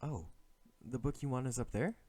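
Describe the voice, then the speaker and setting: An exasperated assassin played by a man poses as a dutiful bodyguard in an audio roleplay. He has a mid-pitched male voice, speaks politely and formally to his charge, and grumbles to himself with weary sighs. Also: moderate pace, a little mellow, natural voice. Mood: polite, composed. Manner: polite, formal address mixed with sighing, grumbling inner monologue. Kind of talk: ASMR audio roleplay acting.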